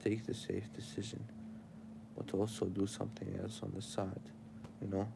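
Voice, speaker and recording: Tired young dude w arabic accent lecturing his stream chat, low gravelly voice, noisy mic. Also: little pitch movement, moderate pace. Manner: preachy, addresses his chat directly. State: sleepy and tired. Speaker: livestreamer. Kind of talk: casual livestream chat giving life advice.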